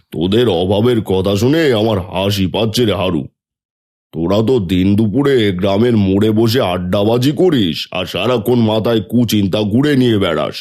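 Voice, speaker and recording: A man playing a stern, scornful but fair village headman in an animated story, scolding and giving orders. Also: lowish voice, measured pace. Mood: mocking. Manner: scolding, commanding.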